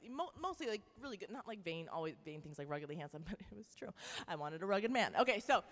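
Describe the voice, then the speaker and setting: A woman in her twenties giving a faith talk to a live audience, telling a personal story with animated asides. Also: medium pitch, quick pace. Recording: long hall reverb.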